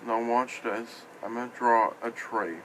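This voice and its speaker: A man speaking in short, halting, simple phrases as an amateur puppeteer improvising a puppet show, recorded on a tablet at home. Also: mid-range voice, fairly even intonation, fairly bright tone, a little hiss or hum, poor audio. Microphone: iPad microphone.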